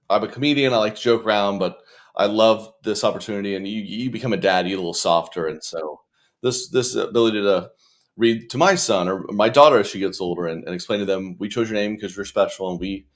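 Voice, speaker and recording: A man, a young adult, chatting casually as an interview guest in a rambling, stop-start, joking way. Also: sits low. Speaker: comedian and children's author, interview guest.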